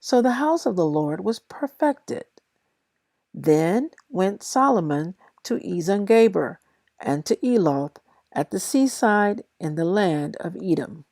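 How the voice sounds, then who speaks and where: A woman who sounds a little tired, reading scripture aloud in measured, deliberate short phrases as the host of a daily reading. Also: mid-range voice, animated, mellow, no echo. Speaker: Bible reader and host of a daily reading series. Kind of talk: scripture read aloud with brief casual introduction.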